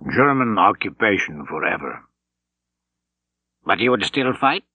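A man's voice: fairly low pitch, big pitch swings, measured pace, breathy edge, dry recording, full character voice. A man voicing an urgent, earnest, weary eighteen-year-old resistance fighter in an audiobook. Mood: bleak. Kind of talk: audiobook character dialogue. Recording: dull, slightly lo-fi tape recording.

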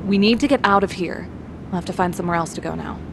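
A woman's voice: on the low side, fast, vocal fry, noisy background. An actress playing a level-headed, serious young woman on the run in an audio drama. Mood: urgent, firm. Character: level-headed, decisive young female escapee with powers.

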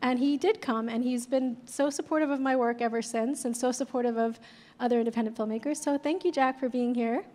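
A woman with a high voice hosts a live panel, chatty and casual with blunt humor. She speaks into a handheld mic on a stage. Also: fairly even intonation, fairly quick, darkish tone, a little hiss or hum. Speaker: event host, moderator. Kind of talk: introducing panelists. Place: gallery stage before a large audience.

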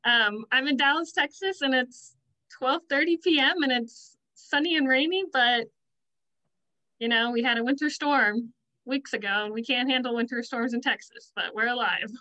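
A young woman with a high, very bright voice hosts a casual call. She sounds enthusiastic, and the video call audio is a little dull. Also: moderate pace. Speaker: host.

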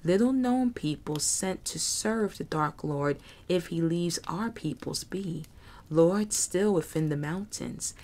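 A woman voicing a bitter, vengeful male reptilian creature with a rasping, hissing voice and slow, broken speech in an audiobook. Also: on the low side, darkish tone. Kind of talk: audiobook character voice.

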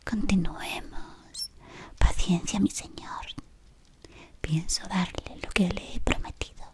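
Woman acting a whispering, devoted dark witch servant. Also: fairly low pitch, fairly even intonation. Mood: deferential, attentive. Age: young adult. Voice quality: breathy, unvoiced whisper. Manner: slow, deliberate, deferential. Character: devoted female dark wizard servant tending her lord. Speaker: ASMR roleplay performer. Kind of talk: whispered ASMR roleplay. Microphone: close ASMR microphone.

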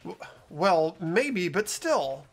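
Casting: woman as everyman schoolboy, animated pitch, earnest, questioning, a bit hesitant. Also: extremely slow, faint music behind, heavily acted. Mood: doubtful, earnest. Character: earnest, hesitant ordinary teenage boy. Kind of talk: amateur visual novel voice dub.

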